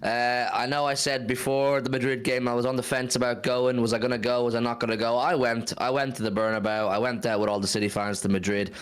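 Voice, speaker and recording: A man with a slightly hoarse, worn voice, chatting casually and animatedly as host of a football fan livestream, repeating phrases for emphasis. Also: mid-range voice, little pitch movement, fast talker, few pauses. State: hoarse from singing and drinking.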